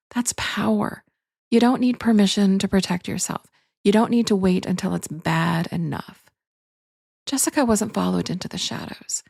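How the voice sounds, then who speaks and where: A woman's voice: mid-range voice, creaky, darkish tone, no echo, clean studio sound. A woman podcast host reading scripted dramatic narration in short punchy phrases, addressing the listener directly.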